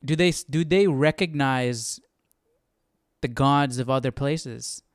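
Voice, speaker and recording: A man with a clear, smooth voice and a mid-range pitch, recorded close and dry. He talks in a casual, reflective way, musing aloud and putting questions to his listeners as he hosts a study session. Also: takes it slowly, no echo. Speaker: host of a live Bible study stream.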